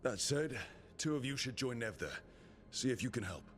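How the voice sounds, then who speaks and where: A man playing a decisive hero with mid-pitched voice. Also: expressive, moderate pace, fairly bright tone, close to an everyday voice. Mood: resolute. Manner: decisive, earnest. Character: resolute, level-headed young male fantasy team leader. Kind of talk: video game dialogue acting.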